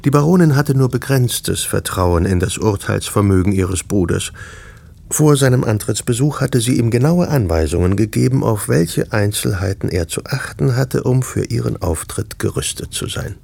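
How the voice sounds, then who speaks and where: A man's voice: fairly low pitch, animated, normal speed, gravelly, darkish tone, husky. A man reading scripted literary narration as the narrator of a radio drama.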